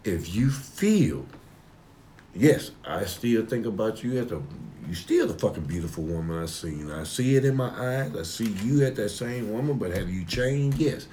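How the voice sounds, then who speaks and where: A man with a deep voice talking emphatically in a heated personal conversation. He swears freely, rambles, and slips into a preaching tone. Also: expressive, moderate pace, warm tone, a little hiss or hum.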